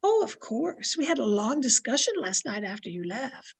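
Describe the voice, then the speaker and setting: A woman playing a sly, flattering old aunt in an old-time radio comedy, coy and sweetly confiding. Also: a little high, moderate pace, slightly rough, full character voice. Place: home, online video-call production.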